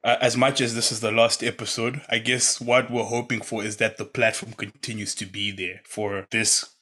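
A man co-hosting a podcast in relaxed chat, laughing often and dropping in fillers. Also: fairly low pitch, normal speed. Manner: laid-back, laughs often, many fillers. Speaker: podcast co-host. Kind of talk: casual chat.

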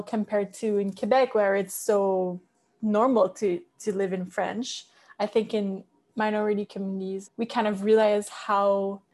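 A woman's voice: medium pitch, a little mellow. A young woman from Winnipeg with a Franco-Manitoban accent, a guest chatting in a podcast interview with many fillers and restarts. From Winnipeg, Canada. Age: young adult.

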